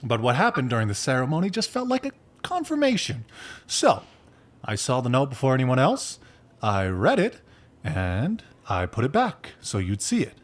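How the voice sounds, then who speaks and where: An actor playing a dramatic, needy, exasperated geeky best friend in a game, with a theatrical, emphatic, rambling delivery. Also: male voice, mid-range voice, wildly swooping pitch, normal speed. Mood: earnest, rambling.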